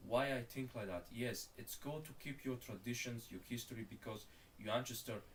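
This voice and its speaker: A man's voice: medium pitch, normal speed, recorded from a distance, slight background noise. Rambling Bulgarian man, a bit off-mic. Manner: rambling, profane, calls the listener bro. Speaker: streamer. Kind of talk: casual rant while gaming.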